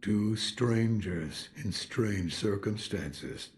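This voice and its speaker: A gruff old hermit from a video game. His voice sits low and he takes his time over every phrase. He sounds weary and cryptic, with a dry amusement. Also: extremely slow, darkish tone. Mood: wry, amused.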